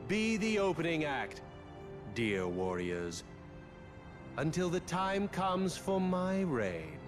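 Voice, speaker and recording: A haughty, slow-talking game villain. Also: male voice, fairly high pitch, very animated, music in the background. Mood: grandiose menace. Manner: grandiose, taunting, deliberate. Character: haughty, scheming male villain returned from the afterworld. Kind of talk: video game villain acting.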